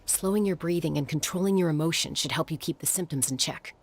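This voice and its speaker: An actress playing a cool, knowing, guarded warrior woman in a video game, measured and matter-of-fact. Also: low voice, slightly rough.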